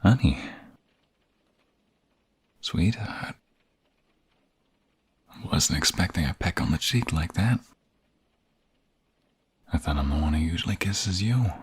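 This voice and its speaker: A man playing a tender, protective young mobster in an intimate ASMR romance roleplay, speaking softly and haltingly in a husky, gravelly, breathy voice. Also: low-pitched, expressive, full character voice. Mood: tender, earnest. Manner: soft, halting, gentle, intimate.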